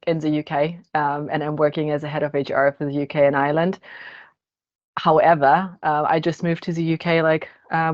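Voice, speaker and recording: A woman whose first language is Russian hosts a podcast talk, reflective and steady as she builds long thoughts. Her voice sits very low. The audio is dull and lo-fi. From Kazakhstan. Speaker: podcast host. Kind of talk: casual panel discussion.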